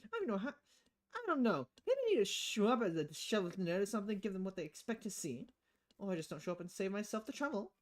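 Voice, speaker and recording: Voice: medium pitch, sing-song, fast, close to an everyday voice. A streamer voicing a confident, sardonic young female hacker in a visual novel game. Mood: exasperated. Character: confident, flippant young woman freelance hacker.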